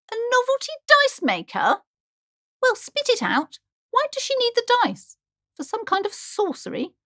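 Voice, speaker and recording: A woman's voice: high-pitched, a bit bright, no echo, studio quality. An actress playing a superstitious, pretentious, anxious woman who runs a bookshop, speaking in a haughty, melodramatic, affectedly genteel way for a video game. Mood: puzzled, suspicious. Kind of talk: theatrical game dialogue.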